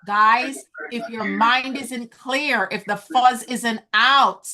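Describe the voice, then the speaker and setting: A woman's voice: fairly high pitch, slow pace, bright, forceful tone. A woman business coach teaching a group over a video call, earnest and encouraging, calling her listeners guys. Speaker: business coach hosting an online event. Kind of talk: slow, deliberate teaching talk.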